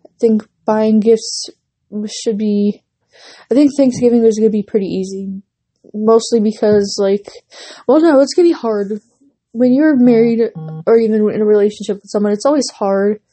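A woman's voice: a little high, moderate pace, mellow. A young woman hosting a casual solo podcast, rambling with many fillers and restarts while she is losing her voice and has a runny nose. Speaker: podcast host.

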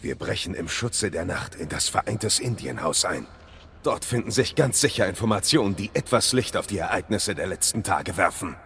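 An actor playing a grim, guarded Victorian knight in an audio drama, with a gravelly voice and a terse, intense, formal manner. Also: male voice, deep voice, animated, moderate pace, a bit bright, slight background noise, heavily acted. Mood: urgent, resolute.

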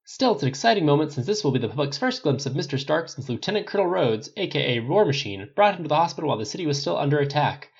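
A man voicing a brisk, upbeat female TV news reporter with an emphatic announcer delivery, reporting live. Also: a little high, gravelly, husky, highs cut off, pitched above the performer's own voice, full character voice.

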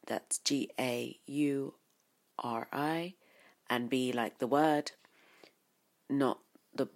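A woman's voice: rumbling low, darkish tone. A woman hosting a podcast, introducing guests in chatty, eager, rambling talk. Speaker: podcast host. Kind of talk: casual chat.

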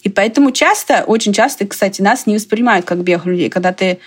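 A woman's voice: mid-range voice, expressive, fast. Woman, from Russia, casual conversation, chatty, digressive, laughs often.

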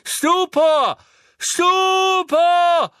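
A man voicing a brave, desperate teenage boy wizard who shouts defiantly through strain. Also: very high voice, takes it slowly, no echo, pitched above the performer's own voice, heavily acted.

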